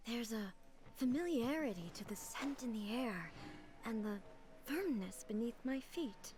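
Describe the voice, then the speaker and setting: Casting: solemn game deity, higher-pitched female voice, dignified and deliberate, stately formal diction. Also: expressive, darkish tone, faint music behind. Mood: contemplative. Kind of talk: video game character acting. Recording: game audio with faint background noise.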